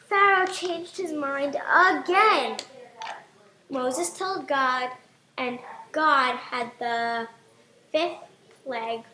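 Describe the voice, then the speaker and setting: High, bright female storyteller, halting. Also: roomy sound. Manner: halting, pausing between phrases. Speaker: puppet show narrator. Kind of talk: simple improvised storytelling.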